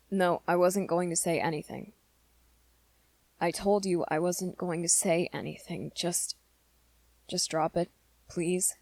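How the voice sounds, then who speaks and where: A woman playing an earnest, lovesick young male werewolf in an intimate ASMR roleplay, confiding softly and haltingly in a friend. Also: fairly low pitch, no echo. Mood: flustered, defensive. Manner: hesitant, halting, soft-spoken confession.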